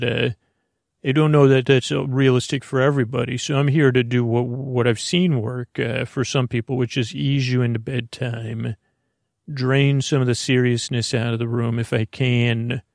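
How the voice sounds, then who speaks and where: A man hosting a bedtime sleep podcast in a halting, meandering, unscripted way, wandering off on mildly whimsical tangents. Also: gravelly, no echo, studio quality. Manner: mildly whimsical, low-key silliness. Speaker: podcast host.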